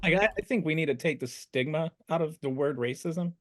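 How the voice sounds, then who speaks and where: A man sharing opinions in a casual discussion, haltingly restating his points for emphasis. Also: fairly high pitch. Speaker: discussion participant.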